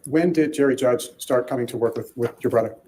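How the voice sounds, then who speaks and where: A man's voice: mid-range voice, brisk, warm tone. A man working as an attorney, questioning a witness in court in a formal, direct way.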